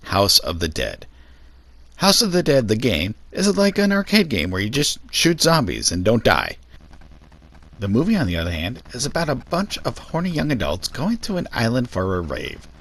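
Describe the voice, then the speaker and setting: Low-voiced man with very animated delivery. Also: moderate pace, dry recording. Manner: opinionated, sweary. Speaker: video host and reviewer. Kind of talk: scripted commentary video.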